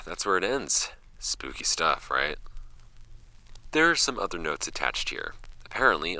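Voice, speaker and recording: A man playing a deep, gravelly paranormal archivist. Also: sharp tone, heavily acted, rough recording. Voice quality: very low, gravelly. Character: young male amateur paranormal archivist, casual and curious. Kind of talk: audio drama narration, first-person case notes.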